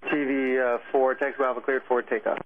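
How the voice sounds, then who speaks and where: A male air traffic controller talks over a thin aviation radio with faint static. His delivery is very quick, even in pitch and procedural, all business. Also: narrow, tinny audio. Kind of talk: clipped radio tower instructions.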